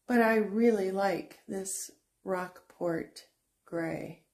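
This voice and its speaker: Slow, chatty woman vlogger. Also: mid-range voice, a little mellow. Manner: chatty, unhurried, with frequent fillers and restarts. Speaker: antique shop owner and channel host. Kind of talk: casual vlog walkthrough. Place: indoor antique shop booth.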